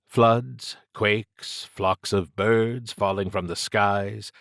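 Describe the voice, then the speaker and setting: A man's voice: on the low side, dry recording, clean studio sound. A man reading scripted dramatic fiction as an audiobook narrator.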